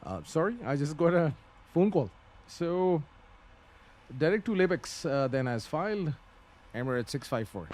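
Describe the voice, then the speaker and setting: A man's voice: fairly high pitch, lively intonation, normal speed, darkish tone, slight background noise. A man giving live flight simulator commentary and pilot radio readbacks, hesitant and thinking aloud. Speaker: flight simulator livestream host.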